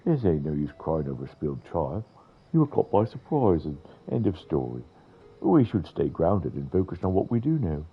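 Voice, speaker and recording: Guy putting on a gruff folksy fighter for a game, blunt, deep rough voice, dull recording. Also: big pitch swings, normal speed, soft background music, heavily acted. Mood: steadying.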